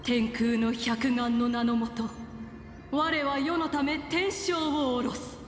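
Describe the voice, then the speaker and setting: A woman's voice: high voice, flat delivery, normal speed. A woman acting a solemn, defiant rain-folk leader in a video game, speaking in a grand ceremonial manner with heavy reverb. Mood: solemn, incantatory. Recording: echoing game audio under music.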